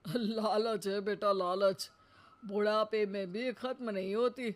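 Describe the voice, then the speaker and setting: A woman's voice: mid-range voice, steady tone, slow, natural voice. A woman voicing a stubborn, devout, bossy elderly mother-in-law in a cartoon moral story, with dramatic scolding.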